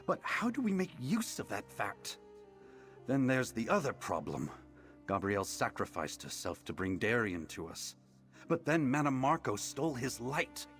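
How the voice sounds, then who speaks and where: A man voicing a brisk, impatient, analytical mage in a video game, clipped and formal as he thinks things through. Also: medium pitch, big pitch swings, fairly bright tone. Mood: pondering. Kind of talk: video game character acting. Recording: music behind the voice.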